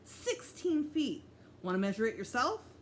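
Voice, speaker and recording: A woman's voice: a little high, very animated, unhurried, a little hiss or hum, pitched above the performer's own voice. A woman voicing a cocky, enthusiastic school-age boy who likes to show off, in a children's storybook reading. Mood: boastful. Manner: boastful, eager.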